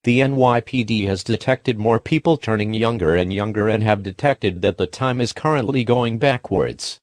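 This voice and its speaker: A man's voice: on the low side, normal speed, no room sound. A man reading a scripted emergency alert as an announcer, on a very noisy broadcast recording.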